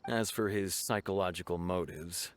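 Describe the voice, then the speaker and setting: An actor playing a stern, earnest law officer in a video game, speaking in a formal, measured way. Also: male voice, sits low, steady tone, clean tone, close to an everyday voice. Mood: grave, frustrated. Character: stern, earnest male law officer giving case report.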